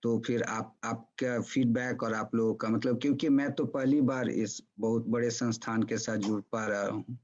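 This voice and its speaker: A man's voice: mid-range voice, steady tone, normal speed, few pauses, a little mellow. Chatty man on a lo-fi video call. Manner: self-deprecating, chatty, hesitant with fillers. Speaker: conference participant asking a question. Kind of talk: casual Hindi discussion mixed with English.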